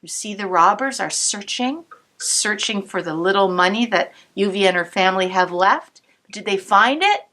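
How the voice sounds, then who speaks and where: A woman cantor reading a picture book aloud to children, pausing to explain the pictures, sometimes dropping to a whisper. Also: mid-range voice. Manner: slow, expressive storybook reading with soft whispered asides. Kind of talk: read-aloud storytime with asides to kids.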